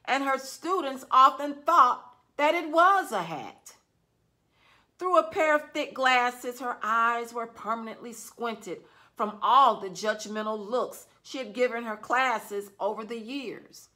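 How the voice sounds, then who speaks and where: Slow, bright-voiced woman librarian storyteller. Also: fairly high pitch. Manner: gentle, expressive storytelling. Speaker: youth services librarian. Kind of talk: reading a novel aloud for young listeners.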